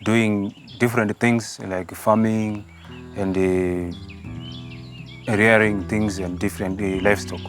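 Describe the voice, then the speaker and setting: A man's voice: fairly low pitch, little pitch movement, slow pace, soft background music. A young man from Zimbabwe, a seminarian telling his story in a hesitant way, with fillers and restarts. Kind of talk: personal vocation testimony.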